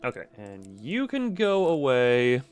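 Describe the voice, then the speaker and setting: A man's voice: mid-range voice, wildly swooping pitch, slow, smooth. A man giving casual live video game commentary, thinking aloud in a rambling, self-interrupting way with playful, self-deprecating wordplay.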